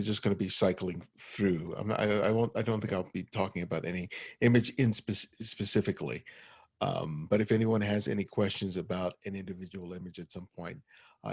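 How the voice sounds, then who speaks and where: Middle-aged guy on a video call, gravelly low voice, kinda husky, halting with a slight stutter. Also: a little mellow, dry recording. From United States. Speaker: guest photographer. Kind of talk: personal talk at an online forum.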